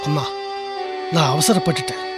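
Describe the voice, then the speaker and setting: A man's voice: on the high side, moderate pace, natural voice. A man playing a sulky, regretful young son in a Tamil radio drama, subdued and contrite. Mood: dejected. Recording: music bed under the voice.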